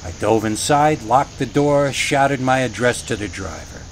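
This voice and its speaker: A man's voice: mid-range voice, lively intonation, measured pace. Man narrating a scary story over noise. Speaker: horror story reader.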